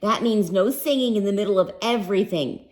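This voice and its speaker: A woman's voice: mid-range voice, fairly quick, a little mellow, natural voice. A woman voicing an earnest, easily embarrassed young schoolgirl in a storybook, with a pleading manner. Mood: pleading, anxious.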